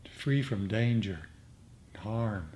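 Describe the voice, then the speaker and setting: A man gently instructing a meditation group in an informal, conversational way. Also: lowish voice, lively intonation, slow pace. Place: indoor meditation group meeting. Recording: clearly noisy room recording.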